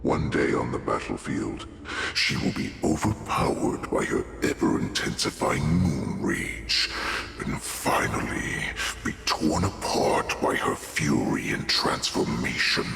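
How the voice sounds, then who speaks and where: An actor playing a menacing, gloating beast-warlord villain in a video game, with a gravelly growl and a slow, taunting delivery. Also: male voice, extremely low pitch, a bit bright, reverberant, heavily acted. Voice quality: growling, gravelly, breathy rasp. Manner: slow, taunting, savoring each threat. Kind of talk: dramatic video game voice acting.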